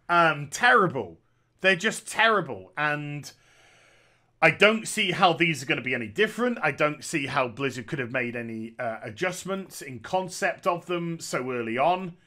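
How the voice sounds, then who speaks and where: A man's voice: on the high side, bright, forceful tone. A man, giving sarcastic, opinionated commentary on a game from a small room, speaking into a headset. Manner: sarcastic, opinionated, jokey asides. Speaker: gaming commentator.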